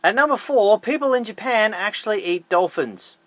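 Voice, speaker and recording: High-voiced man narrating a countdown. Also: animated, normal speed, a bit bright, no echo. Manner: upbeat, emphatic, jokey asides. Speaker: YouTube host. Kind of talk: scripted list narration.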